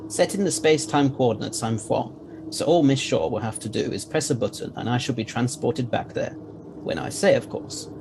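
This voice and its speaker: A man playing an eccentric, confident time-travelling gentleman scientist in an audio drama, courteous and explanatory, with old-fashioned phrasing. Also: mid-range voice, normal speed, background noise, natural voice. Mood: pleased, busy.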